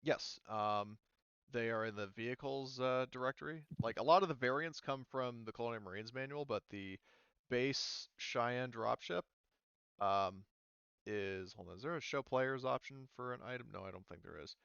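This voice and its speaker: A man's voice: mid-range voice, normal speed, creaky, fairly bright tone, smooth, no echo, highs cut off. A man running a tabletop game as game master, talking loosely with many fillers over a voice call.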